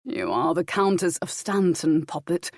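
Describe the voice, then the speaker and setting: A woman voicing a protective royal duke, commanding yet kindly, with measured formal speech in a historical audiobook. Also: sits low, very animated, no echo, clean studio sound, exaggerated voice.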